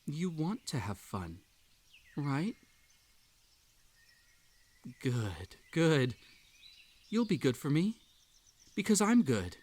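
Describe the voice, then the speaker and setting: A man playing an obsessive, unhinged magical hare hosting a tea party in a roleplay audio, with a theatrical, halting delivery that swings between sweet coaxing and menace. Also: a little high, sing-song, a little mellow, husky, exaggerated voice. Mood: coaxing, needy. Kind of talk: roleplay audio acting, intimate second-person address.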